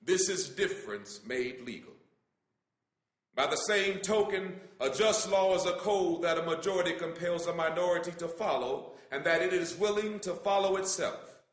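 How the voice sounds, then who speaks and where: A man gives a formal, measured reading of a written letter as solemn, emphatic oratory. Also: sits high. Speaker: reader. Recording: roomy, echoing sound.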